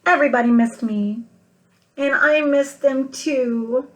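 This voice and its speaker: A woman's voice: high-pitched, pitched above the performer's own voice, rough recording. Lady reading as a good little egg guy from a picture book, earnest, kind, really leans on the words. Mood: joyful greeting. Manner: earnest, emphatic, deliberate phrasing. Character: kindly, earnest male egg, storybook narrator-hero. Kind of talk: expressive storybook read-aloud for children.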